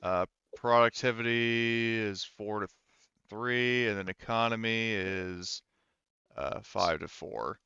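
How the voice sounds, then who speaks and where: Low, smooth-voiced man running a game, slightly dull sound. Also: slow, sharp tone, no echo. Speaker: game master. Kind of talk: narrating a tabletop roleplaying game, improvised.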